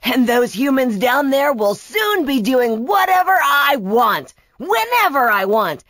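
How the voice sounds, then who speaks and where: Sneering, boastful Tiki henchman villain read by a woman, animated and lifted in pitch. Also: unhurried, no echo, studio quality, full character voice. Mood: boastful, menacing. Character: scheming, mocking male Tiki henchman turned power-hungry villain.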